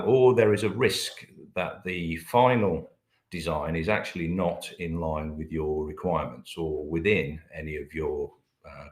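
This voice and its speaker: Very deep-voiced British man presenting. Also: reverberant. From Britain. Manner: hesitant, many filler sounds. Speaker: webinar presenter, managing director. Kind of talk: business webinar presentation.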